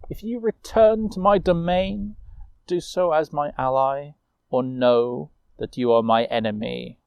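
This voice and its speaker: A man's voice: a little high, measured pace, creaky, clear, resonant tone, no room sound, lo-fi sound. A man voicing an imperious, cold fairy queen of ice in a storytelling, with formal, commanding delivery. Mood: stern warning.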